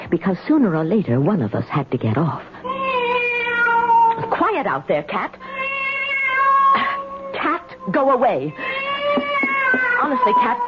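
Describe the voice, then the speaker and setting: An actress playing a fretful, lonely elderly spinster who chatters haltingly to herself in a 1970s radio drama. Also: female voice, medium pitch, dull audio. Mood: uneasy. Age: older. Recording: noisy, band-limited 1970s radio recording.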